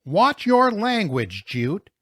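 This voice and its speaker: A man's voice: medium pitch, big pitch swings, slow, breathy edge, no echo, clean studio sound, voice pushed up, full character voice. A man voicing a firm, weary but resolute older woman in an audiobook story. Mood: scolding.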